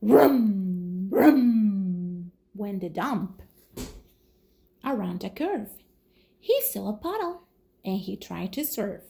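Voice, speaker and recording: A woman reading a children's storybook aloud as a toddler teacher, in an expressive manner. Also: medium pitch, vocal fry. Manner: slow, animated, expressive.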